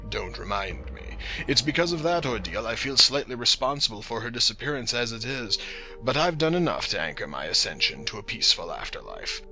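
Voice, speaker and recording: A man playing a weary, calculating boss in an audio drama, speaking in a measured, formal, ominous way. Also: mid-range voice, big pitch swings, bright, forceful tone, soft background music, full character voice, rough recording. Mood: grave, weary. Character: weary, calculating male boss with a heavy conscience.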